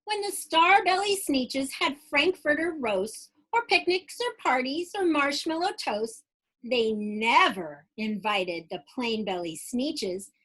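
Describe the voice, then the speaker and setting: A woman reads a children's picture book aloud in a high, very lively voice. She takes her time and is expressive and dramatic, like a teacher with young pupils. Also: low-quality recording. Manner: expressive, dramatic, pauses to question young listeners. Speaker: teacher reading to students.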